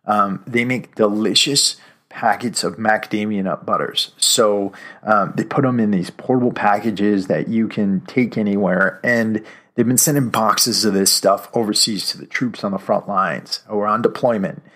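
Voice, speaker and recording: A man hosting a podcast and chatting in an easy, upbeat way. His voice sits somewhat low and he keeps the tone friendly and loose. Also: moderate pace, a bit bright. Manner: upbeat, conversational, loose pitch. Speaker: podcast host. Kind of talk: casual podcast intro and interview.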